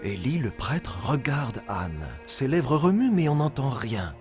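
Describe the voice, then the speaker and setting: Lively male storyteller over soft music. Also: mid-range voice, unhurried. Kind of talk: scripted children's Bible story narration.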